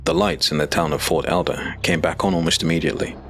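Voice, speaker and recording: A man narrating a dark fantasy audio drama in measured, dramatic storytelling. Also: a bass voice, very animated, vocal fry, slight background noise.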